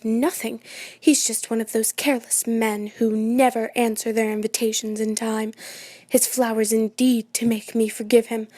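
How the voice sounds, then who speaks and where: A woman playing an excitable, imperious New York society hostess and mother in a radio play, chattering and dramatic. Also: a little high, no echo, close to an everyday voice. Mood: agitated, urgent.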